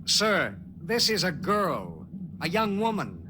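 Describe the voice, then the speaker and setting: An actor playing an earnest, troubled spaceship pilot in a 1950s radio drama, sounding strained and sometimes hesitant. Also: male voice, high-pitched, wildly swooping pitch, slow, exaggerated voice. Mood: pleading. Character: troubled, conscientious male spaceship dispatch pilot. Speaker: actor narrating and playing the lead. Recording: noisy remastered vintage radio broadcast.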